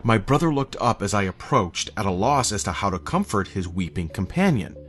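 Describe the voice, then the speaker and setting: A man reading a scripted first-person horror story in a measured, somber, literary manner. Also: on the low side, sing-song, a bit bright, a little hiss or hum.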